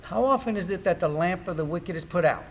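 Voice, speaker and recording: A man's voice: high voice, lively intonation, fast, slight background noise. A man playing an anguished, bitter suffering man in an amateur church Bible drama, reciting in a declamatory way. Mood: bitter, defiant.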